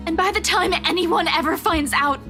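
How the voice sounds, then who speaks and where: A woman voicing a distraught young woman who shouts and pleads at a friend in a game. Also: extremely high pitch, normal speed, music in the background, voice pushed up. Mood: angry.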